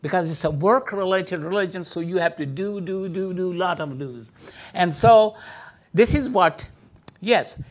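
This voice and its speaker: A man giving an informal teaching talk, full of hesitations and filler sounds, speaking English with non-native phrasing. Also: a little slow. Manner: hesitant, many filler sounds, lively pitch jumps. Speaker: speaker teaching about Islam.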